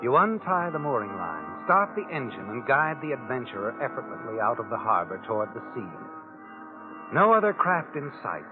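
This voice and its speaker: An actor playing an omniscient, taunting narrator of crime tales in vintage radio drama style, dramatic and knowing. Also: male voice, mid-range voice, very animated, normal speed, close to an everyday voice. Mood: ominous narrating. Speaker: host and narrator. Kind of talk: 1940s-50s radio drama narration in second person. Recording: tinny band-limited vintage radio recording. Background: dramatic music.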